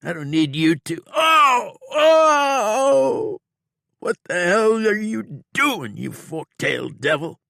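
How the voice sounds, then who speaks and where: A wounded outlaw in an audiobook, pitched far above his own deep voice and strained with panic. He yelps and cries out in pain, and the voice sits close and dry with no room sound. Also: very animated, slow, vocal fry, sharp tone, no room sound, full character voice. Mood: panicked pain. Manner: yelping, howling and crying out in pain. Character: injured frightened male outlaw, panicky. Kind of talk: audiobook character voice.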